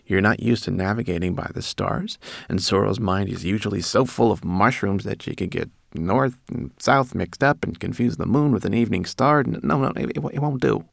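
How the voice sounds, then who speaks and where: A man's voice: medium pitch, moderate pace, gravelly, no echo, clean studio sound, voice pushed up, lightly acted. A man voicing a fussy, anxious, know-it-all female rat in a storybook, speaking insistently. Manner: fussy, insistent, stammering when flustered.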